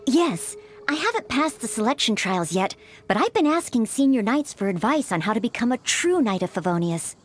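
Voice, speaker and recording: An actress playing a polite, eager young maid who dreams of knighthood in a video game, speaking with apologetic hesitance. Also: female voice, on the high side, very animated, moderate pace. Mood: earnest, polite.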